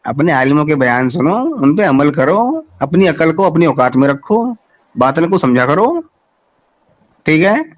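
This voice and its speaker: A man's voice: a little high, sing-song, unhurried. A man arguing in a heated online debate, sarcastic and mocking. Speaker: debater on a live stream.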